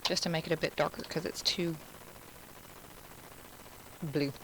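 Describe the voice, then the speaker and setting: A woman's voice: sits low, steady tone, a little slow, fairly bright tone, a little hiss or hum, rough recording. A woman from Alabama with an Alabama Southern accent, hosting a live crafting class from home and chatting in rambling asides while she works. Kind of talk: live-streamed crafting tutorial.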